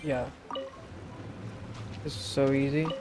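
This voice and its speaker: A male streamer making offhand, slangy comments over gameplay. His voice is creaky and very even in pitch, with clear noise in the recording. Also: slow. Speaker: game streamer. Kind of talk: casual live gameplay commentary.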